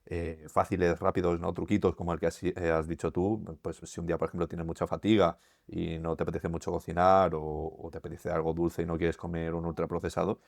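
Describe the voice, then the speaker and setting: A man with an accent from Spain, co-hosting a podcast and asking questions in a measured, hesitant way. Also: deep voice, level tone, creaky, a little mellow, no room sound, clean studio sound. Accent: Spain Spanish. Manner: measured, hesitant, lots of "eh" fillers. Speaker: co-host. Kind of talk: casual podcast conversation.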